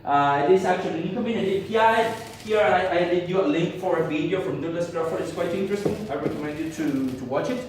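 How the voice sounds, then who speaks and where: A man's voice: sits high, a little hiss or hum. Argentine man lecturing in an echoey hall. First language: Spanish. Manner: halting, many fillers and restarts. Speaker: conference speaker. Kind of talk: tech talk to an audience. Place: conference room. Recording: echoey hall, speaker far from mic.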